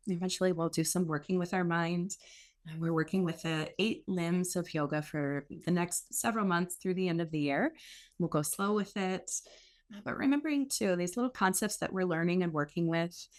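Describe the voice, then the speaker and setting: A woman meditation teacher giving gentle guided instruction in a soothing, unhurried way with pauses between short phrases. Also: on the low side.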